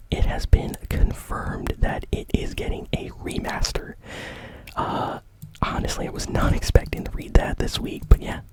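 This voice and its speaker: An ASMR host whispering gaming news in a rambling, informal way. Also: low-quality recording.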